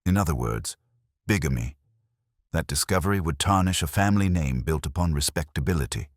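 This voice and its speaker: Narrator playing a very deep, measured police inspector. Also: male voice, lively intonation, no echo, clean studio sound. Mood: measured, revealing. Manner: measured, gently pointed speechmaking. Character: mild, calm male police inspector delivering quiet reveals.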